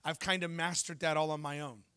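A man in his thirties preaching a sermon on a church stage into a handheld mic, telling lively stories and asking the congregation questions. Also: on the high side, fairly quick, smooth. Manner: animated storytelling preacher, jokes and asks the crowd questions. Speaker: pastor.

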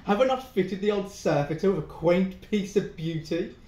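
A man's voice: high-pitched, lively intonation, moderate pace, a little mellow. A young man playing a gleefully vengeful brother in a Jacobean audio drama, with theatrical, gloating delivery. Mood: manic glee. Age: young adult. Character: gleefully vengeful brother, mocking, brandishing a poisoned skull. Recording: roomy, echoing home recording.

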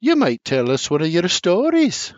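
A man voicing a sweet but sharp-tongued mother in a high, loud put-on voice. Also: huge pitch swings, moderate pace, no room sound. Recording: slightly muffled dry recording.

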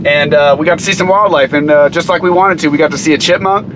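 Easygoing dad vlogging from the car. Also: male voice, fairly high pitch, fast talker, background noise. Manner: relaxed, easygoing, with filler words. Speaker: father and vlogger. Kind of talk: casual vlog narration. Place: inside a car and on a mountain trail outdoors.

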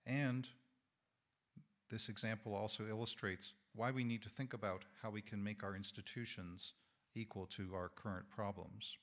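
A man in his sixties giving a measured, earnest sermon-style talk to a congregation, on a lapel mic in an indoor hall. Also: fairly low pitch, steady tone, fairly bright tone. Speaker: guest speaker.

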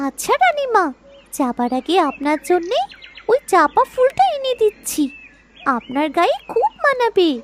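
Very high, sweet young maidservant. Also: female voice, very animated, normal speed, very noisy. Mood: eager, sweet. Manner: respectful, reassuring. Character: young maidservant Moyna, sweet and devoted to the queen. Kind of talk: Bengali fairy-tale cartoon dubbing. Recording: loud music bed behind voice.

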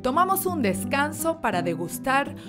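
A woman's voice: sits high, very animated, moderate pace, music in the background. A woman giving scripted voice-over narration for a travel show.

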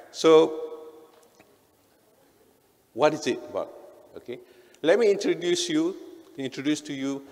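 Conversational Malaysian man presenting in a hall. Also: mid-range voice. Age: middle-aged. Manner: conversational, frequent "right?" and "okay" tags. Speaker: conference speaker, engineer. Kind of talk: informal presentation to an audience. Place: indoor hotel event hall on stage. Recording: reverberant hall PA sound.

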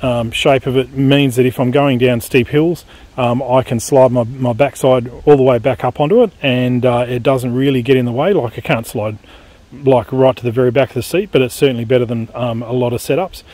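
A man's voice: medium pitch, fairly quick, no room sound. A man giving a casual, plain-spoken gear review of his motorcycle luggage outdoors, with plenty of ums and uhs. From Australia. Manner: relaxed, plain-spoken, explaining with fillers. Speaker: motorcycle vlogger. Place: outdoors on dirt beside a motorbike.

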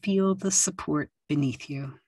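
A woman leading a yoga nidra meditation, speaking softly and soothingly with long pauses. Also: low-pitched, husky, dry recording, clean studio sound. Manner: slow, soft and soothing, with long pauses and gentle instructions. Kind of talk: guided meditation.

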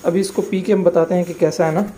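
A man's voice: sits high, steady tone, fast talker, mellow. A young man chatting casually to viewers while making a shake in his kitchen and waiting at a drive-through. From Pakistan. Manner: easygoing, chatty, addresses viewers as brother. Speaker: vlogger. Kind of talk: casual vlog chat. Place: home kitchen, then a car in a drive-through. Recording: noisy handheld phone audio.

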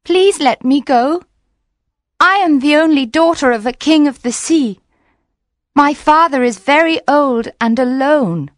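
A woman voicing a frightened young mermaid princess who pleads in a raised, high voice. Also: expressive, measured pace, dry recording, clean studio sound. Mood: pleading.

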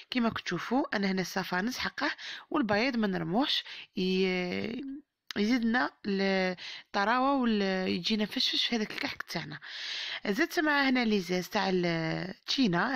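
A woman with an Algerian Arabic accent and a hoarse voice, chatting to viewers as she narrates a home baking tutorial. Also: mid-range voice, no echo, studio quality. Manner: chatty, warm-hearted blessings and advice to viewers. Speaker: cooking channel host. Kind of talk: home cooking tutorial voice-over.

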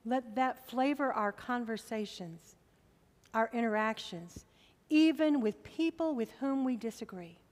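A middle-aged woman pastor preaching a sermon from the pulpit of a church, with slow, emphatic appeals to the congregation. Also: fairly high pitch, darkish tone, long reverb tail.

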